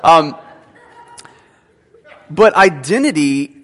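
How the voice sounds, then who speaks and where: A man's voice: on the high side, animated, drawn-out words, fairly bright tone. A man in his thirties, a teaching pastor giving a conversational sermon with frequent fillers, in a church sanctuary. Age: 30s. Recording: long hall reverb.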